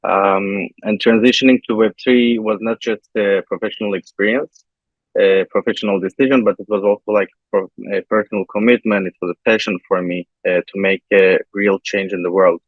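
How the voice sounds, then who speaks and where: A man from Turkey answering interview questions in a halting way, with many pauses and fillers, over a video call. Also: on the low side, fairly even intonation, no echo. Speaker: interview guest, software engineer. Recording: tinny phone-like line, dry.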